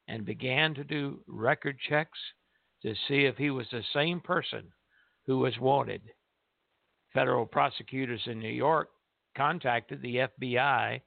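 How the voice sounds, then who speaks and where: A man's voice: mid-range voice, vocal fry, no room sound. An older man hosting a radio show, moving between reading aloud and casual chat. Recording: narrow tinny phone-like line.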